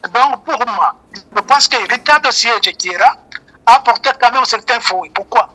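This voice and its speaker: A man's voice: very high voice, moderate pace, bright, forceful tone, rough recording. An older man with a Rwandan accent, a native Kinyarwanda speaker, giving emphatic, repetitive political commentary as an interview guest over a phone. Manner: emphatic, repeats key points. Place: remote call, shown as a photo.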